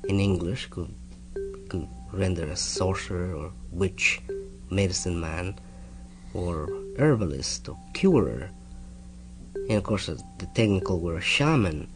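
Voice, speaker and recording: A man explaining terms off the cuff in a hesitant, halting, scholarly way. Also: sits low, expressive, low-quality recording. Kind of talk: unscripted explanation in an archival talk. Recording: clear music laid under speech.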